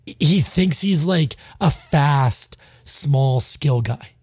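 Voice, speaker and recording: A man's voice: a little high, drawn-out words, a little mellow, slightly rough, no echo, clean studio sound. A man co-hosting a casual sports podcast, ranting bluntly and with frustration, swearing freely and sounding a bit stuffy. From Canada. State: stuffy, sinusy. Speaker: podcast co-host.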